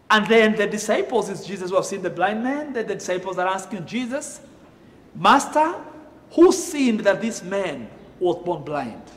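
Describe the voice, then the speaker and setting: A middle-aged man with a Kenyan accent preaches in a church sanctuary, pushing up loud on the key phrases. His voice sits high for a man and is lively. A long, roomy reverb hangs behind every word. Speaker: pastor. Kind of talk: sermon. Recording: echoey room reverb.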